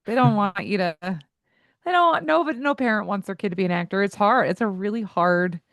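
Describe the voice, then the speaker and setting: A woman in her forties, a voice coach and guest in an interview, who talks candidly in quick asides and laughs easily. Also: medium pitch, a bit bright, no echo.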